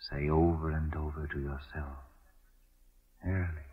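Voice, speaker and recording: Very deep, husky, commanding mystic. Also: male voice, level tone, full character voice. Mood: solemn, coaxing. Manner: formal, measured, theatrical phrasing. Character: commanding mystic detective, solemn, formal, self-assured man. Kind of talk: old-time radio drama acting. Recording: dull, muffled old radio recording.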